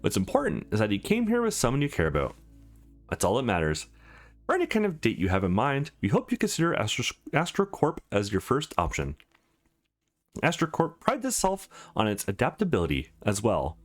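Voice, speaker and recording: A man's voice: mid-range voice, huge pitch swings, fairly quick. A man voicing an upbeat female company receptionist in a game, with polished, formal sales patter. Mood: cheerful, courteous. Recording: music behind the voice.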